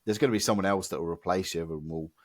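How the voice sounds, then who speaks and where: A man co-hosting a podcast, hoarse and losing his voice from illness, ranting in an exasperated, emphatic way. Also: deep voice, quick pace, no room sound, clean studio sound. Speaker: podcast co-host. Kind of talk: casual podcast chat.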